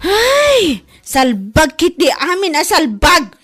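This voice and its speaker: A woman with an Ilocano accent playing an excitable office worker who grumbles and exclaims in a radio comedy drama. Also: very high-pitched, slow pace, faint music behind, heavily acted. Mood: indignant.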